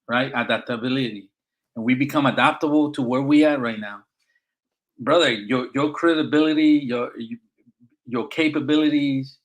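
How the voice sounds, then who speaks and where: A middle-aged man with a Puerto Rican accent speaks in an emphatic, encouraging way, often tossing in "right?". His voice sits at an ordinary middle pitch for a man. He talks like a veteran guest in a relaxed podcast chat. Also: poor audio. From Puerto Rico. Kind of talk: casual podcast conversation.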